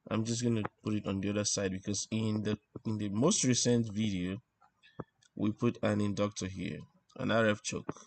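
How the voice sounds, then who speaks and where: Low-voiced man teaching electronics, halting delivery. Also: darkish tone. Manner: halting, explanatory, thinking aloud with repeated words. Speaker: instructor presenting an electronics tutorial. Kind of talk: informal technical lesson, talking while drawing.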